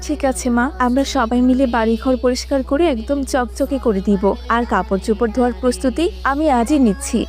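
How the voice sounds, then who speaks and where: A plaintive, overworked housewife in a family cartoon, acted by a woman. Her voice is fairly high as she frets dutifully, over faint music. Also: a little fast, few pauses, darkish tone, lightly acted. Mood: obedient. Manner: plaintive, fretting. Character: dutiful, overworked eldest daughter-in-law, resigned and fretful. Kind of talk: Bengali family cartoon voice acting.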